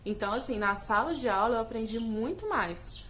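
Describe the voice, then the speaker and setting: Young woman from Brazil, chatty vlogger, fairly high voice, faint hiss behind. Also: expressive, brisk, a bit bright. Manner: chatty, direct address with many fillers. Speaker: English teacher, vlogger. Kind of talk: casual personal vlog.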